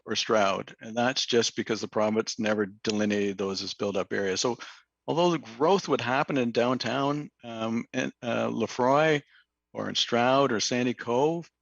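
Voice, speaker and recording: A slightly hoarse man gives a planning presentation to a council meeting, halting often with fillers and restarts. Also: medium pitch, fairly bright tone, no echo. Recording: dull, dry lo-fi meeting audio.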